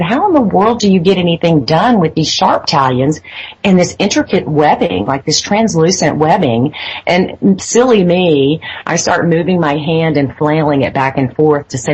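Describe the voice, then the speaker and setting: A woman's voice: low-pitched, a little mellow, breathy edge, poor audio. A woman as an interview guest, recounting a personal experience in a hesitant way with many fillers and restarts. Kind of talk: phone interview, personal account. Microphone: phone line.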